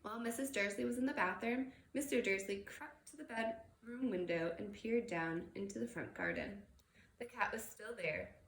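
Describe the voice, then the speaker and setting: A woman's voice: medium pitch, sing-song, normal speed. Woman reading a story aloud, echoey room. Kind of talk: expressive read-aloud narration. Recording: roomy echo with faint background noise.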